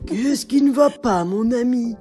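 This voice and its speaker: A woman's voice: fairly high pitch, measured pace, warm tone, soft background music, lightly acted. A woman voicing a brave, kind male wolf in a children's story, speaking earnestly as if declaiming to friends. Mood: concerned.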